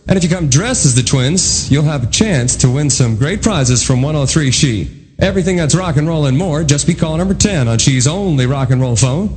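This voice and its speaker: A man hosts a 1980s rock radio show in a fairly high voice. His delivery is punchy announcer patter, with music playing softly underneath. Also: animated, a little fast.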